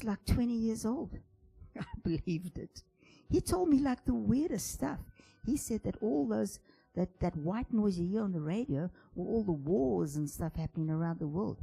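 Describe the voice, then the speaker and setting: A man, a composer and bass player, tells his personal story in a conference talk, reflective and halting, often dropping to a hushed voice. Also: vocal fry, breathy edge, low-quality recording. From South Africa.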